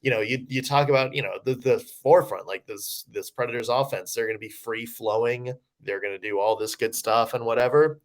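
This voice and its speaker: A man's voice: mid-range voice, fairly even intonation, fairly quick, hardly any pauses. A man co-hosting a sports podcast, rambling through his analysis with many restarts. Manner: rambling, lots of fillers and restarts. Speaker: podcast co-host. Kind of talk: casual sports analysis chat.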